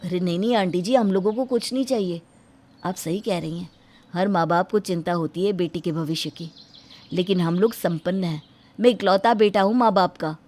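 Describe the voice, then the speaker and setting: A woman's voice: on the low side, moderate pace, dark tone, close to an everyday voice. A woman playing an earnest, pleading family member in a dramatic moral story. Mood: reassuring.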